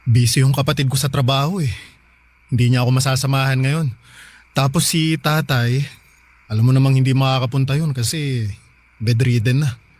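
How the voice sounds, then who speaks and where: A man playing an excitable, devoted young boyfriend in a radio drama, speaking expressively and emphatically. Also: mid-range voice, normal speed, long pauses, close to an everyday voice. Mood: earnest, calm. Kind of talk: Tagalog radio drama acting.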